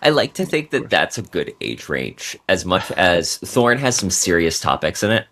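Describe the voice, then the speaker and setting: Very deep-voiced male author in interview. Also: sing-song, moderate pace, a bit bright. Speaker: podcast guest, novelist. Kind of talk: casual interview talk, articulate book pitch.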